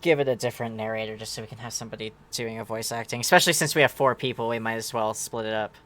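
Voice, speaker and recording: A woman's voice: a bass voice, steady tone, very fast, fairly bright tone. A woman chatting casually as she organizes roles for a group reading. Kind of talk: casual chat.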